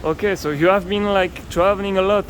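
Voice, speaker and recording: A man's voice: high-pitched, steady tone, brisk, poor audio. A man interviewing a passerby, asking curious questions with many fillers. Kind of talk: street interview. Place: city street outdoors with traffic. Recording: loud street noise.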